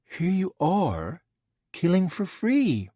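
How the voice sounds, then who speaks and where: A man's voice: sits high, wildly swooping pitch, slow, mellow, no room sound, clean studio sound, pitched above the performer's own voice, full character voice. A man voicing a smug, scheming male villain who taunts and mocks. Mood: mocking. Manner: taunting, mocking.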